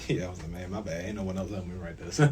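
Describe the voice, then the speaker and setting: A young man with a very deep voice chats casually as an interview guest. His talk is slangy and rambling, with animated storytelling. There is faint noise in the background. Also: brisk. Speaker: radio play writer being interviewed. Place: student radio studio.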